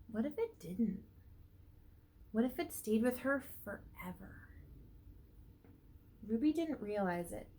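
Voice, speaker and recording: A woman teacher reads a picture book aloud to young children, stretching words for emphasis and pausing to ask them questions. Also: medium pitch, dark tone, low-quality recording. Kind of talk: read-aloud storytelling with asides and questions to young listeners.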